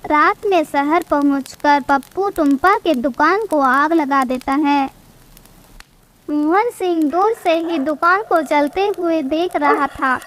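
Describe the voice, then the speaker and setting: A woman tells a scripted cartoon moral tale as its narrator. Her voice is very high and youthful in pitch, carrying the story in the third person. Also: slow pace, a bit bright, low-quality recording.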